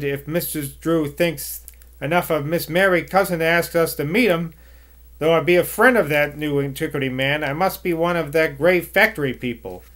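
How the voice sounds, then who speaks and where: A man doing a shrill scolding old servant woman in broad West Country. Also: fairly bright tone, lightly acted. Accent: put-on West Country rustic dialect. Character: sharp-tongued older woman servant, scolding and defiant. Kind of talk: amateur audiobook reading.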